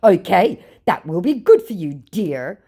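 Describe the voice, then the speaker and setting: Dim, boastful male scammer for comic storytelling, played by a woman; raised swooping pitch, halting broken English. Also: big pitch swings, warm tone, breathy edge, heavily acted. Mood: halting, dismissive. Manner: slow, exaggerated, halting delivery.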